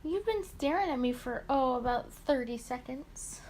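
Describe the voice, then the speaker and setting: A woman's voice: high voice, expressive, normal speed, darkish tone, a little hiss or hum, pitched above the performer's own voice. A woman voicing a drunk, teasing, smug man in a visual novel, in a playful, theatrical manner. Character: drunk, teasing, lazily smug male detective's partner.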